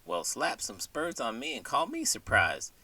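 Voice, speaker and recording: A man's voice: medium pitch, huge pitch swings, measured pace, pushed and bright, breathy edge, distant mic, exaggerated voice. A man voicing a good-natured, puzzled cowboy with an American cowboy drawl and folksy turns of phrase in a scripted bedtime skit. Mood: amused, folksy. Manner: folksy, colorful cowboy idioms. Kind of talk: scripted comedic bedtime interlude.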